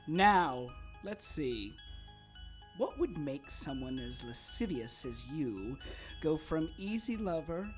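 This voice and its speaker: A woman playing a sardonic, taunting supernatural villain in an audio drama, with a theatrical, drawn-out, mocking delivery. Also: sits low.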